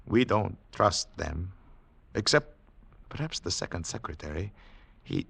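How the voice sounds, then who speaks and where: An actor playing an earnest, weary government agent in a 1940s radio drama, speaking formally and deliberately, at times halting. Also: male voice, low voice, big pitch swings, gravelly, fairly bright tone, slightly rough, full character voice. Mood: troubled. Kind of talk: 1940s radio drama acting with first-person narration. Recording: 1940s radio broadcast recording.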